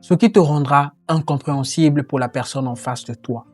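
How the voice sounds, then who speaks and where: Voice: medium pitch, normal speed, darkish tone, faint music behind. A voice narrating a French-language lesson on learning English, earnest and explanatory. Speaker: language teacher.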